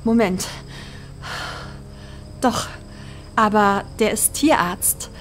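A woman's voice: medium pitch, drawn-out words, fairly bright tone, faint music behind. A woman playing a tough, loyal sheriff's deputy in a horror audio drama, feisty and direct. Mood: brisk.

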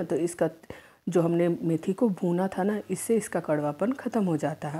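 A woman's voice: fairly low pitch, dark tone, slightly rough. A woman giving a step-by-step home cooking voice-over in an instructional manner. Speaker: home cook, cooking channel host.

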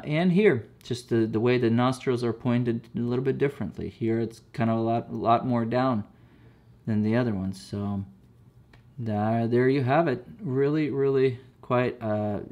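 A man casually reviewing a chess set at a table indoors, talking slowly with many fillers and repeated words. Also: mid-range voice, fairly even intonation, muffled recording.

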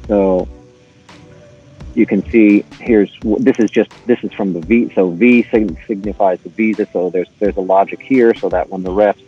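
Low, husky man explaining tech. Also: steady tone, highs cut off, soft background music. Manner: halting, explanatory, step by step. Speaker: podcast guest, intercom engineer. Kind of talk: informal technical walkthrough.

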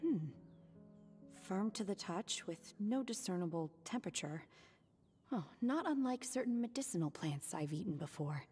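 A woman playing an aloof, literal-minded young female disciple in an anime game, speaking in a deadpan, formal, measured manner. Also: medium pitch, breathy edge, faint music behind. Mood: clinical.

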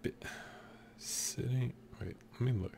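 A man in his thirties with a deep voice, casually commenting while he plays a game show video game at his desk at home. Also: takes it slowly, creaky, mellow, a faint crowd, slight background noise. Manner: laid-back, joking, thinking aloud. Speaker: streamer.

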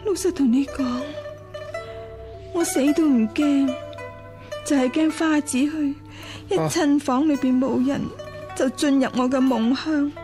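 An actress playing a frail, gravely ill concubine in a radio drama, speaking plaintively and with resignation. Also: female voice, high-pitched, unhurried, pauses often, over music. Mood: fearful, plaintive. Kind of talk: Cantonese radio drama acting.

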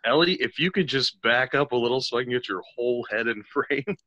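A man's voice: medium pitch, brisk, sharp tone, no room sound, highs cut off. A man chatting casually as a cast member on a podcast video call. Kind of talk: casual chat. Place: indoors, remote video call.

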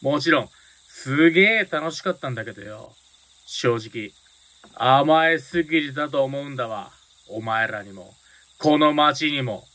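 An actor playing an earnest high-school gang boss in a Japanese radio drama, speaking sincerely in rough delinquent slang. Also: male voice, lively intonation, measured pace, sharp tone. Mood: earnest, resolved.

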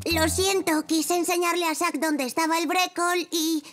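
An actor voicing a curious, eager five-year-old zebra boy in a children's cartoon, earnest and excitable. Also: very high voice, moderate pace, over music. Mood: worried. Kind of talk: animated children's cartoon dubbing.

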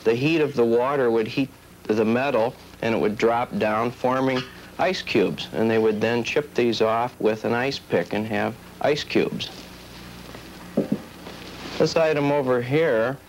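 A man's voice: mid-range voice, unhurried. An American man explaining items step by step in a plain, methodical way on an old tape. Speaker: collector demonstrating items. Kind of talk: explanatory show-and-tell. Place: indoors at table by window. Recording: faintly noisy old videotape.

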